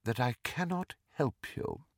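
Male narrator as storybook ghost noblewoman, young, haughty and disdainful, animated pitch, dry sound. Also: a little slow, dry recording. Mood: cold, disdainful. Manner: stiff, disdainful, guarded.

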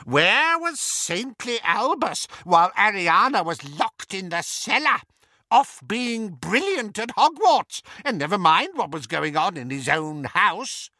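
Old witch, malicious and gleeful, shrill cackle, dry close sound; male reader. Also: sing-song, slow, bright, forceful tone, no room sound, heavily acted. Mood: accusing. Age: older. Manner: cackling, needling, high-pitched. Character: shrill gossiping old witch, spiteful and relishing.

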